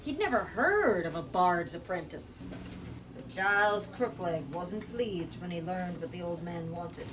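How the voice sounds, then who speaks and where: A woman's voice: on the low side, animated, unhurried. A woman leading a book talk, reading a story aloud and explaining it emphatically with questions. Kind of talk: storytelling and literature discussion. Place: bookstore. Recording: distant mic in noisy room.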